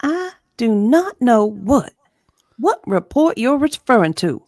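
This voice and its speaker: A woman reading the part of a guarded, politely stubborn witness in a dramatized deposition, measured and evasive. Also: a little high, big pitch swings, darkish tone, dry recording, studio quality, exaggerated voice.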